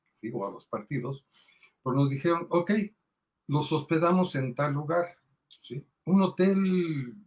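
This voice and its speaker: A male host in an online chat, speaking casually. He keeps checking in with small tag questions and fills pauses as he goes. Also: mid-range voice. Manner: conversational, with fillers and tag questions. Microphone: video call.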